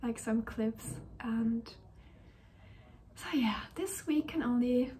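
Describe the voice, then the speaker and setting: A young woman, a university student, talking in a casual diary-style vlog. She speaks softly and hesitantly, often dropping to a gentle, breathy whisper. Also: a little high, slow pace, slight background noise. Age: young adult.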